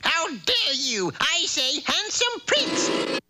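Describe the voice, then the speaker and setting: A woman's voice: high-pitched, very animated, slow pace, over music, full character voice. A woman voicing a smug, spiteful old witch in a comic cartoon, cackling and gloating. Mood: indignant. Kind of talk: comic cartoon character acting.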